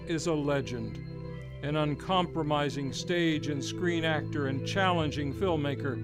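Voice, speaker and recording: A man, hosting and introducing an old-time radio hour in a showman announcer manner. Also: a little high. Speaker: radio show host. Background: music.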